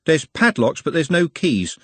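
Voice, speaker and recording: A man's voice: on the high side, very animated, measured pace, fairly bright tone, slightly rough, dry recording, voice pushed up, full character voice. A man voicing an unsure, plain-spoken working-class young man in an audiobook.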